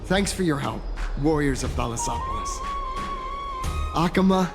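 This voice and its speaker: A man's voice: a little high, expressive, moderate pace, creaky. A man playing a friendly, eager young explorer in a video game, chatty and earnest, with a stammer. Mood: wistful. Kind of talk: video game character dialogue. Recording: loud music behind the voice.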